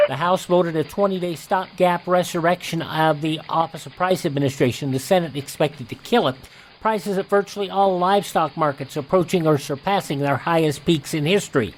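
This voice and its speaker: A man's voice: a little high, slight background noise, low-quality recording. A man hosting a radio show, reading scripted news in a narrator's style.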